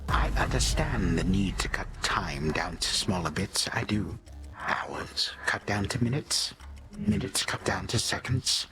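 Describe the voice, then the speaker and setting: An actor playing an ancient god of time in a video game, a sinister, condescending old villain who speaks in pompous, archaic, theatrical phrases and savors each word. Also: male voice, deep voice, big pitch swings, a little slow, music in the background. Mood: musing menace.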